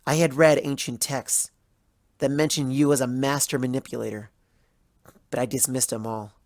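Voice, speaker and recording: A bitter, hurt young pony scholar as a narrator acts her out in a storybook monologue. Her delivery is measured and accusatory, with a creaky edge and a close, dry sound. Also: medium pitch, steady tone, a little mellow, dry recording, close to an everyday voice. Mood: bitter, reflective. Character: betrayed, bitter young female pony student, intellectual and hurt. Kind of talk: dramatic fan fiction reading as first-person monologue.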